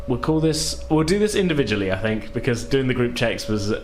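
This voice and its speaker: A man, casually narrating and running a tabletop game as game master, with frequent ums and pauses. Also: mid-range voice, lively intonation, darkish tone, a little hiss or hum.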